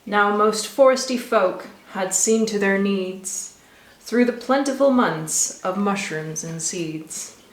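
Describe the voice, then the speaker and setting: A woman reading a rhyming children's story aloud with expressive, rhythmic delivery. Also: mid-range voice, slow pace. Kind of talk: scripted storybook narration for children. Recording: echoey room.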